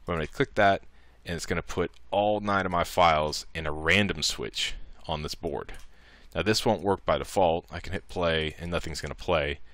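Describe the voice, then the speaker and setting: Man, low-pitched, bright crisp tone, informal tutorial narration, thinking aloud. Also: normal speed, few pauses, no echo. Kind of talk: casual software tutorial narration.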